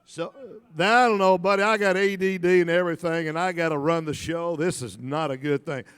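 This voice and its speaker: Fast-chanting auctioneer man in a hall. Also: a little high, fairly even intonation, a bit bright, clean tone. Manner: rapid rhythmic bid-calling, joking banter with the crowd. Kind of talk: live charity auction chant. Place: large banquet hall at a gala. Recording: long reverb in a big hall.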